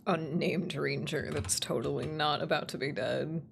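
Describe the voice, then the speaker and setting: A woman's voice: deep voice, fairly even intonation, normal speed, gravelly, slight background noise. A woman streamer and voice actor thinking aloud as she writes, casually muttering to herself, trailing off and repeating words. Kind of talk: casual work-along stream, thinking aloud while writing. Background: computer keyboard typing and clicks.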